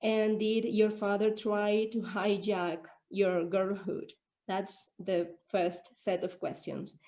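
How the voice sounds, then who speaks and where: A woman's voice: mid-range voice, level tone, slow, warm tone. A woman whose first language is not English, asking questions at an online Q&A, hesitant and searching for her words. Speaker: audience member asking questions.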